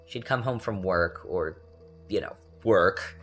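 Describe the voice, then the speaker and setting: A man acting as a bitter, taunting young man in a confessional dramatic monologue, with a sardonic, halting, conversational delivery. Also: sits low. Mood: hurt, simmering. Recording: music under the voice. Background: music.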